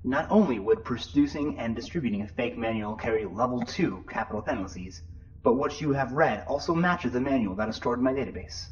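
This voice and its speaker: A man plays a pedantic spaceship computer in a sci-fi audio drama. It speaks formally and precisely at middle pitch, through a filter that sounds muffled with faint noise. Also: lively intonation, fairly quick. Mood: officious, matter-of-fact. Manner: precise, officious, wordy. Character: formal, pedantic, matter-of-fact shipboard computer. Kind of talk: science-fiction audio drama acting.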